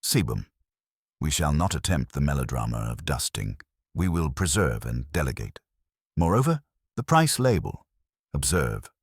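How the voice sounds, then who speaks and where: An imperious male master detective, voiced by a male narrator, very low in pitch. He is pedantic and formal, issuing clipped orders with animated intonation in a mystery audiobook. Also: normal speed, slightly rough, dry recording, studio quality, exaggerated voice. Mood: imperious, precise.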